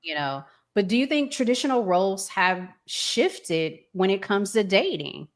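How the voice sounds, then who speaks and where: A woman's voice: mid-range voice, sing-song, a little slow. An American woman hosting a casual relationship talk show, conversational and repeating words for emphasis. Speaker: host.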